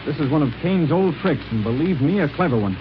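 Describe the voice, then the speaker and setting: A man playing a steady, determined space hero pilot, earnest and assured, in a 1930s radio serial. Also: a little high, quick pace, close to an everyday voice. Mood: urgent, earnest. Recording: muffled, noisy 1930s radio recording.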